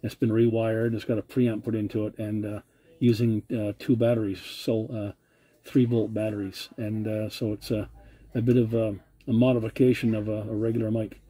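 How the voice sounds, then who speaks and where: A man's voice: on the low side, flat delivery, normal speed, mellow, breathy edge. A man making a CB radio contact from his home radio desk, courteous and using radio procedure phrasing, into a desk mic. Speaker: CB radio operator.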